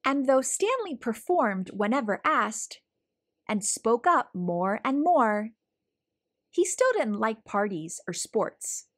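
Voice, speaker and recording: Lady doing kids read-aloud, expressive, highish slightly husky voice, careful phrasing. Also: takes it slowly. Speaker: storybook reader, host. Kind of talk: children's read-aloud narration.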